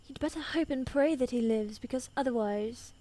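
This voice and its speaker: Woman playing fierce evil queen, high voice, commanding, medieval fantasy roleplay, noisy ambience behind. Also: moderate pace, natural voice. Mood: cold fury. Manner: imperious, threatening, softening into tenderness. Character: fierce commanding young evil queen, tender toward her husband.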